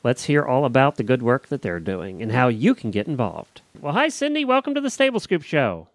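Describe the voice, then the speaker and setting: A man's voice: medium pitch, big pitch swings, fairly quick, dry recording. A man hosting a podcast, moving between upbeat intros and casual chat. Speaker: podcast host.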